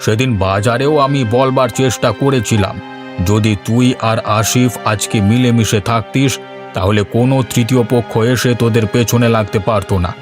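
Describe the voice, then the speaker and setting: A male actor plays an unbending cartoon villager with authority. The voice is medium in pitch, firm and scolding. Music sits clearly behind it. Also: fairly even intonation, normal speed, natural voice. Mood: stern, dismissive. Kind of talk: Bengali cartoon voice acting.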